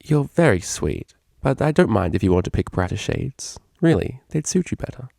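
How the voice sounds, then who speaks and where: A man's voice: medium pitch, sing-song, moderate pace, gravelly, warm tone, no room sound, studio quality, pitched above the performer's own voice. A man voicing a refined female pony cellist for a story, prim, polite and coyly teasing. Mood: sweetly teasing. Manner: prim, proper wording, coyly innocent.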